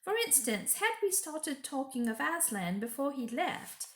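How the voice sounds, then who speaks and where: A woman putting on a high, insistent motherly beaver. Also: big pitch swings, a little slow, echoey room. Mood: careful, shrewd. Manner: emphatic, explanatory, insistent. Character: fussy, sensible motherly female talking beaver. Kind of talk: children's storybook character reading.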